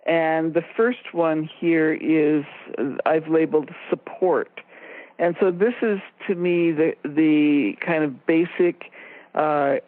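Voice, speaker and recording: A woman co-hosting a radio discussion, explaining ideas in a measured way and stressing her key points. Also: sits low, steady tone, dry recording. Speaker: radio show co-host and expert. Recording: narrow phone-like line, very dry.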